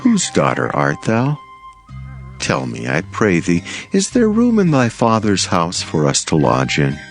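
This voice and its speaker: A man's voice: sits low, wildly swooping pitch, unhurried, faint music behind, heavily acted. An actor playing a humble, devout male servant in a dramatized audio Bible, earnest, reverent and formal. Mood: polite, inquiring.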